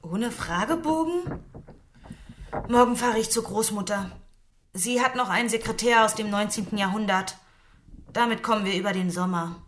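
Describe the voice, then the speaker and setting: A woman's voice: mid-range voice, moderate pace, pauses often, slight background noise, lightly acted. An actress playing an insistent, reproachful nineteen-year-old woman in a radio drama. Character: reproachful nineteen-year-old woman, practical, pressing her older lover.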